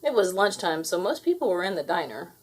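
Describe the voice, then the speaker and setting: A woman narrates a children's storybook in a fairly low voice. Her pitch swings widely as she tells it, giving the reading an expressive, theatrical shape. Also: fairly quick, husky. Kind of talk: animated scripted read-aloud narration.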